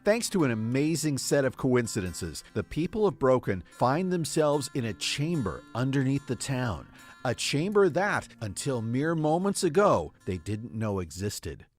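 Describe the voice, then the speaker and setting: A man's voice: mid-range voice, sing-song, unhurried, soft background music. Man acting a grave, melodramatic townsman, swooping delivery. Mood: narrating. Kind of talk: improvised radio drama acting.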